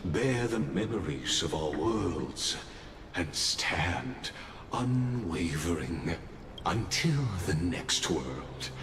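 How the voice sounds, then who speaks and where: An actor playing a theatrical, sly ritual overseer in a fantasy game, speaking in a grandiose, deliberate and ominous way. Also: male voice, low voice, animated, noisy background, full character voice. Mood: solemn, ominous. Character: theatrical, sly male ritual overseer dropping his masquerade. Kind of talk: dramatic fantasy game acting. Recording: echoey, loud music behind.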